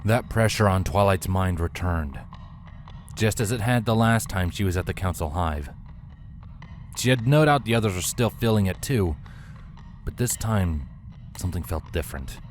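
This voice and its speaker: A man's voice: sits low, a little hiss or hum. A man reading scripted fiction aloud as a storyteller, in the style of an audiobook.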